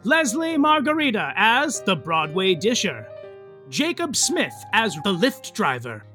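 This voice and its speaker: An actor playing a gossipy, melodramatic soap opera narrator, mock-grand and arch in comic scripted narration. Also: male voice, high voice, huge pitch swings, unhurried, pushed and bright, faint music behind, full character voice.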